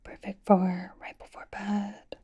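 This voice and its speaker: A woman's voice: medium pitch, little pitch movement, slow, dark tone, highs cut off. A woman playing a playful, teasing young female K-pop idol in an ASMR roleplay, whispering casual, reassuring skincare pampering. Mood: gentle. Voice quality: breathy whisper. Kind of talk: ASMR roleplay, soft personal-attention whispering.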